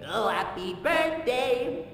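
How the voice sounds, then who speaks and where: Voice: mid-range voice, expressive, slow pace, fairly bright tone. A performer voicing an overly cheerful, giggly birthday toy in a horror game. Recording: echoey game audio with far reverb, music.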